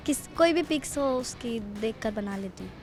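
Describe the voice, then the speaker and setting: A young woman student answers questions briefly and shyly, often dodging them. Her voice sits fairly high, with faint music underneath. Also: animated, normal speed, a little mellow. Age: young adult. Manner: shy, brief, evasive answers. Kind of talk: casual interview answers. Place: college campus.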